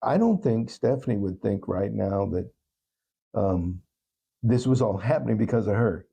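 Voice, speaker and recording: Deep, gravelly, mellow-voiced man. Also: animated, moderate pace. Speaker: talk show guest. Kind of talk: reflective interview answers.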